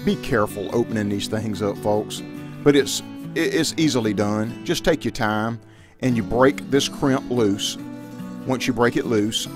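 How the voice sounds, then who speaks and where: A man's voice: fairly low pitch, measured pace, over music. A middle-aged man giving casual how-to vlog talk, folksy and speaking directly to viewers. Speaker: outdoors and shooting vlogger.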